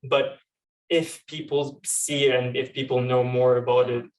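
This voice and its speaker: A man's voice: mid-range voice, flat delivery, a little slow, darkish tone. A young man talks informally and a little hesitantly on a panel, telling personal stories with plenty of "like". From Turkey. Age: young adult. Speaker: panel speaker, law student and activist. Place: panel event at a university. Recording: distant microphone at live event.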